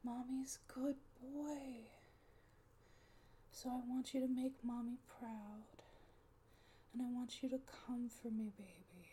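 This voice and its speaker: A woman in an intimate audio roleplay, speaking softly and often slipping into a coaxing whisper. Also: on the high side, fairly even intonation, moderate pace, slight background noise. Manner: soft, often unvoiced whispering; coaxing, doting caretaker tone.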